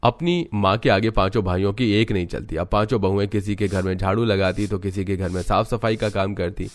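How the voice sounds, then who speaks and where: A man's voice: lowish voice, steady tone, fairly quick. A man reading scripted narration for a cartoon family story.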